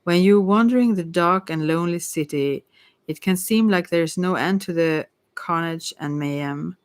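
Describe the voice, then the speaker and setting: Low-voiced woman giving a soft tarot reading. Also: normal speed, clear, resonant tone, no room sound. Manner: soft-spoken, rambling, hesitant, giggly asides. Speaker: tarot reader and ASMR host. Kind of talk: casual ASMR tarot reading.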